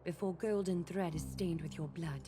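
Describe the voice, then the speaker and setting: A woman's voice: lowish voice, normal speed, background noise, close to an everyday voice. A woman voicing a cold, composed female demigod warrior in a video game, formal and poetic in delivery. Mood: icy defiance. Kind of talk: scripted video game acting. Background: game soundtrack music.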